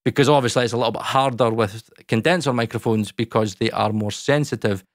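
A Scottish man in his thirties with a dark, boomy voice, chatting casually to camera about recording from his small home studio, talking close into a dynamic mic. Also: a little fast, no room sound. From Scotland. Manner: chatty, lots of fillers, talks with his hands. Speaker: YouTube audio educator. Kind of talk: casual explainer talk to camera. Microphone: large dynamic mic close in front.

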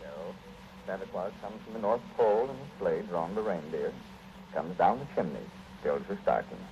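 A man playing a gentle, kindly father and storyteller in a 1940s radio play. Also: sits low, moderate pace, a little hiss or hum. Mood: gentle, fatherly. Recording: dull 1940s radio recording. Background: music.

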